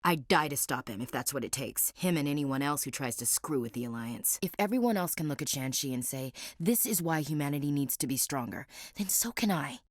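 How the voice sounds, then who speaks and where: A woman's voice: low-pitched, lively intonation, brisk, few pauses, no room sound, studio quality. An actress voicing a proud, guarded young woman marine in a video game, blunt and wry with a clipped military way of talking. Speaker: game character dialogue. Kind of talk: studio game voice acting.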